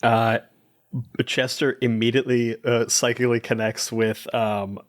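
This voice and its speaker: Man, medium pitch, tabletop player, casual, colloquial, improvising his characters' actions. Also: little pitch movement, unhurried.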